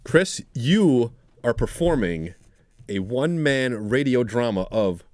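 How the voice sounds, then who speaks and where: A man co-hosting a podcast in casual banter, talking in halting, repeated phrases. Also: mid-range voice, big pitch swings, darkish tone, dry recording. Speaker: podcast co-host.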